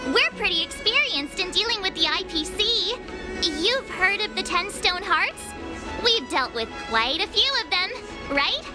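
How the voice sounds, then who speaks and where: A woman voicing a perky, outspoken, carefree teenage girl adventurer in a video game, chatty, blunt and expressive. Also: very high voice, a little slow, full character voice. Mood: eager. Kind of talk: English video game voice acting. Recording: loud background music under the dialogue. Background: game music.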